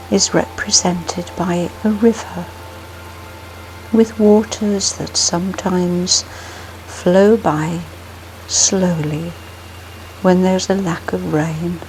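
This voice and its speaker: A woman narrating a scripted guided sleep meditation in a soothing, hushed manner with long pauses. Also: fairly low pitch, a little hiss or hum, rough recording. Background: music.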